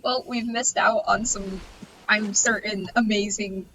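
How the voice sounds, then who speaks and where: A woman chatting casually with friends on a gaming stream, playful and teasing with a wry manner. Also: measured pace. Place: voice chat on a game stream. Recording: noisy voice chat, steady rumbling hiss.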